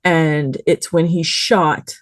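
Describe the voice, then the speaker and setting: A woman's voice: deep voice, level tone, slow, no room sound, studio quality. A woman on a podcast panel, chatting casually and effusively, with thoughts tumbling over one another. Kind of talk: casual review chat.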